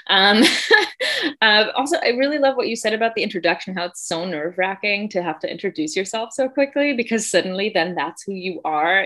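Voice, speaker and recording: A woman's voice: on the low side, fairly bright tone. A woman from Germany, a teacher telling a story as a podcast guest in a chatty way, with lots of fillers and self-corrections. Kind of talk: casual storytelling.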